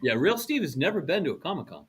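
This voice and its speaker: A man's voice: fairly high pitch, sing-song, fast talker, a crowd in the background. A man in casual fan banter, talking in an enthusiastic, joking way. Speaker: radio show personality and guest.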